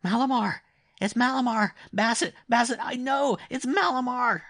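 A man voicing a feverish, excitable young boy who cries out insistently in a dramatic storybook reading. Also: very high voice, moderate pace, no echo, studio quality, pitched above the performer's own voice, exaggerated voice. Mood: delirious, urgent. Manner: crying out, breathless and insistent.

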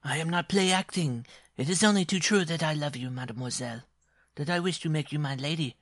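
A man voicing a proud, touchy, lovestruck circus dwarf in a story, with a shrill voice and florid, pompous speech. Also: a little fast, a bit bright, no echo, clean studio sound. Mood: ardent, angry.

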